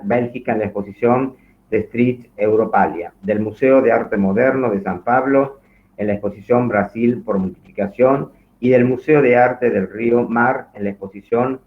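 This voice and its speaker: A man hosting an online event, formally reading out material in a halting way and stumbling over foreign names. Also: medium pitch. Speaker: host introducing a guest lecturer. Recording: thin phone-like online call audio.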